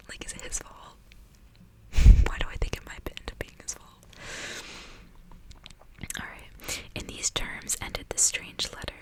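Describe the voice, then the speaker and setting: A young woman whispering softly in ASMR style as she reads aloud, breathy and hushed, close to the microphone. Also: poor audio. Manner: soft, hushed, expressive. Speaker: ASMR reader. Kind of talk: whispered ASMR book reading with casual asides.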